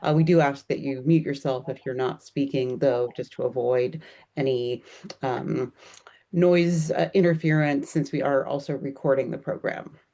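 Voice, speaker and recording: Relaxed woman moderating an online event. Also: rumbling low, moderate pace. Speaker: moderator running the online program. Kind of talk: procedural announcements on a video call. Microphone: video call.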